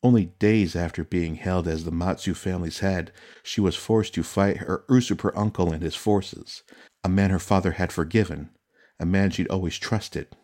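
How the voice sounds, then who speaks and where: A man with a very low-pitched voice narrates scripted fantasy fiction. His delivery is measured and dramatic, that of an audiobook storyteller. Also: steady tone, a little mellow.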